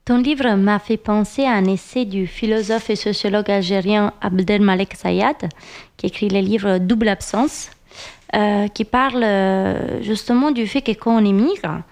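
A woman with an Italian accent asks a long question in an earnest way. She hesitates now and then with fillers. Her voice has an ordinary middle pitch for a woman. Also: steady tone, measured pace, darkish tone. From Apulia, Italy. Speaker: interviewer. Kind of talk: conversational question.

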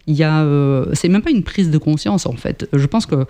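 Man, fifties, interview guest, hesitant with fillers, slightly high pitch, mellow tone. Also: normal speed, clear, resonant tone. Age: 50s. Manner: hesitant, many fillers and restarts. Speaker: guest, climate association member. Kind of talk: interview answers.

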